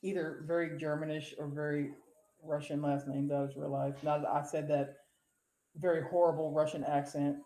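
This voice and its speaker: A woman's voice: deep voice, level tone, normal speed, warm tone, roomy sound, poor audio. A woman co-hosting a casual live show, reading out viewer comments in a rambling, profane way and laughing easily. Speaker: co-host.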